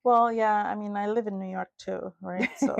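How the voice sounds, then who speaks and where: Trinidadian lady in her middle years, chatty and animated, ordinary mid pitch. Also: vocal fry. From Trinidad and Tobago. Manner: animated, warm, quick asides, often "you know". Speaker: podcast co-host. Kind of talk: casual chat.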